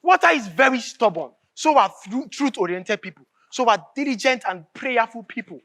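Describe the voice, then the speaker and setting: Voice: medium pitch, expressive, moderate pace, a bit bright. A stage actor playing a fervent, impatient revolutionary with a Nigerian accent, speaking in emphatic, oratorical dialogue and repeating phrases for force. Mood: insistent, preachy. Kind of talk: live stage drama acting, declamatory dialogue.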